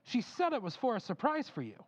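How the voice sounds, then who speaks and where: A man's voice: very high voice, wildly swooping pitch, quick pace, lo-fi sound, heavily acted. A man playing a prim, courteous bank teller. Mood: polite. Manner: formal, courteous. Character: polite, faintly mocking male bank teller. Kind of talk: old-time radio drama recreation. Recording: distant voice with long reverb.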